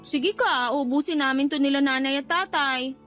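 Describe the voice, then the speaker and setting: A demanding young Filipina in a radio drama, with a strikingly high voice. She is pouty, nosy and confrontational, and light music runs underneath. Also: moderate pace, a bit bright. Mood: prodding, suspicious. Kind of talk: radio drama acting in casual dialogue.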